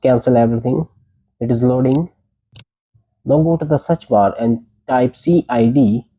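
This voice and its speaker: Guy doing a step by step tech tutorial, really dark mellow voice, mid pitch, short plain instructions. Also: unhurried, husky, rough recording.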